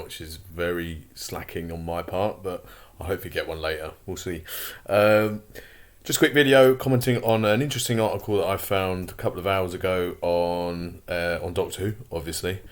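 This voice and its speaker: Vlog host, male, deep and croaky, rambly and opinionated. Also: fairly even intonation, normal speed. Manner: rambling, many fillers and restarts; opinionated, grumbling asides. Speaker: channel host. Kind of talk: casual commentary vlog.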